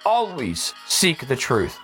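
Bright, emphatic American podcast host, slightly hoarse. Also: mid-range voice, huge pitch swings, slow pace, soft background music. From United States. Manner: emphatic, repeats phrases for effect. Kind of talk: impassioned political monologue.

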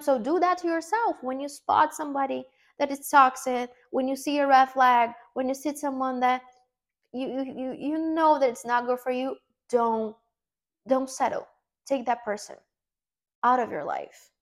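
Brazilian woman, gravelly earnest talk. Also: a little high. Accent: Brazilian Portuguese. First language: Portuguese. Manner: earnest, repeats words while searching for them. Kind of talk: motivational self-help talk.

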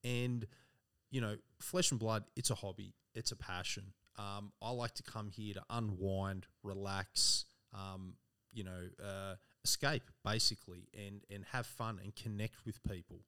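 Aussie guy cohosting, lowish voice, earnest, lots of "you know". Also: steady tone, measured pace, pushed and bright, smooth. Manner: earnest, says "team" and "you know" a lot. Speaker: podcast co-host. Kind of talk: casual chat.

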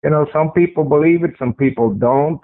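A man's voice: a little high, brisk, dry recording. A man with a strong voice giving a first-person account in an interview, speaking conversationally and repeating phrases for emphasis. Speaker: interview guest recounting a personal sighting. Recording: tinny phone-like radio line.

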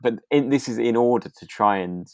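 A woman's voice: extremely low pitch, normal speed, darkish tone, no room sound. A woman co-hosting a podcast, chatting casually about a drama in a hesitant, giggly way, thinking aloud. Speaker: podcast co-host. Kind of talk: casual chat reviewing a radio drama.

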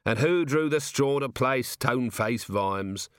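A man's voice: medium pitch, expressive, a little slow, no echo, pitched above the performer's own voice, natural voice. A man voicing a tired, stern male watch commander who talks in a dry, clipped way. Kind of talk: audiobook character voice.

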